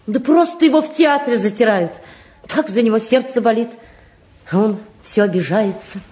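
High-voiced, fretful mother played by an actress. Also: moderate pace, warm tone, close to an everyday voice. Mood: concerned. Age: middle-aged. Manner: agitated, pleading, repeats herself. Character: anxious, fretful mother and housewife, fussing over her sons. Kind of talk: mid-century Soviet radio-play acting.

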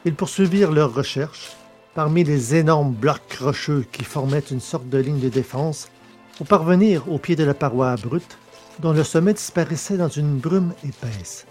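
A man narrating a science fiction audio drama in a steady, measured storytelling way. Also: a little high, faint music behind.

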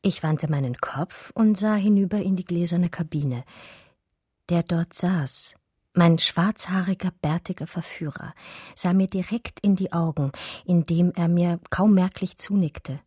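An actress playing a romantic, daydreaming art historian, narrating her memories in measured, intimate, literary radio drama style. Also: lowish voice, darkish tone, slightly rough, no echo, clean studio sound. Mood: entranced, intimate.

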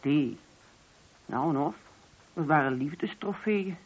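A woman's voice: deep voice, expressive, slow, slight background noise. A woman playing a grieving, talkative, wistful mother in a Dutch radio play. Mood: rueful. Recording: dull, muffled old radio recording.

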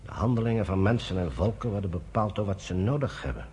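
An actor in a radio play, playing a troubled, conscientious British general, grave and measured, with a husky voice. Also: male voice, lowish voice, animated, a little mellow, a little hiss or hum, natural voice. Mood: bitter, principled. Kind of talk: Dutch radio play acting.

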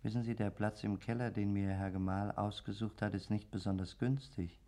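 A man's voice: lowish voice, steady tone, normal speed, dark tone, natural voice. An actor playing the polite, sardonic ghost of a murdered man in a radio play, chatty and mocking. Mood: polite, bored. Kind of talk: German radio play acting.

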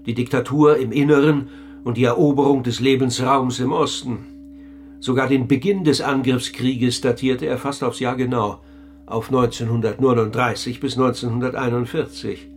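A man's voice: mid-range voice, fairly even intonation, moderate pace, faint music behind. Formal, probing male radio narrator. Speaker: narrator and interviewer. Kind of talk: scripted radio documentary narration.

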